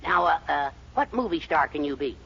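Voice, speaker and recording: An actor playing a fussy, henpecked middle-aged American husband and eager schemer, blustering and hesitant in 1940s radio comedy style. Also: male voice, fairly high pitch, a little fast, vocal fry, breathy edge, exaggerated voice. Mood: eager scheming. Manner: excitable, blustering, hesitant stammers. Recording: dull, noisy 1940s radio recording.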